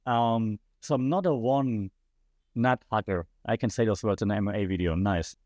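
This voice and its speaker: A man's voice: lowish voice, normal speed, a little mellow, dry recording, clean studio sound. A man with a German accent hosting a podcast, giving passionate solo commentary and putting rhetorical questions to his listeners. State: slightly losing his voice. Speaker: podcast host.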